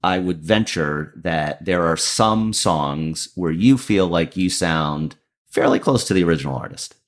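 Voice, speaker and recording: A man with a deep voice, a comedian and lawyer telling a self-deprecating story as a podcast guest. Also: expressive, measured pace. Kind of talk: casual storytelling.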